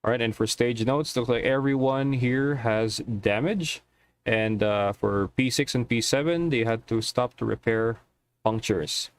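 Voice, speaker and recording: A man's voice: mid-range voice, clear, resonant tone. Man reading out rally stage times. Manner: brisk, reads out results. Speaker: rally stream host. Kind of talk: live esports commentary.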